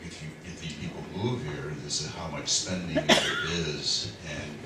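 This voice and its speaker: A man's voice: extremely low pitch, steady tone, a little slow. A man, a planning consultant, explaining figures at a public meeting in a loose, explanatory way with fillers. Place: community hall. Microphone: distant room mic. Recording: echoey hall from far off, noisy.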